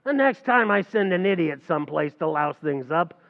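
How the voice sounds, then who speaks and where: A man's voice: a little high, animated, moderate pace, vocal fry, slight background noise. A man playing a brash, scheming tavern manager in an old-time radio comedy recreation, wisecracking and mangling big words. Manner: wisecracking, malaprop-prone, brash. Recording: tinny, phone-like recording.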